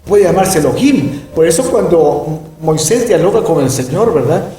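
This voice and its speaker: A man's voice: a little high, animated, moderate pace, warm tone, recorded from a distance, soft background music. A middle-aged man teaching a Bible lesson, emphatic and given to asking "¿verdad?" as he explains. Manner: emphatic, peppers speech with "¿verdad?". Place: room with closed blinds. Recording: echoey room, voice off-mic.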